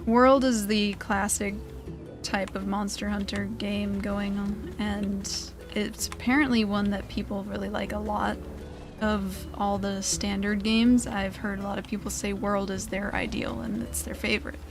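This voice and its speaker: A woman's voice: mid-range voice, level tone, a little slow, over music. A woman giving casual, chatty gameplay commentary as the host of a gaming video. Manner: chatty, informal.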